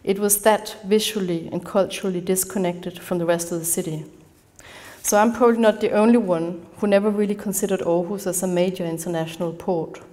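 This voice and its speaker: A woman from Denmark with a Danish accent, giving an academic conference talk in a measured manner, partly reading from a prepared text. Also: medium pitch, fairly even intonation, dark tone. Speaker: conference speaker. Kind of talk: academic lecture. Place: large darkened lecture hall.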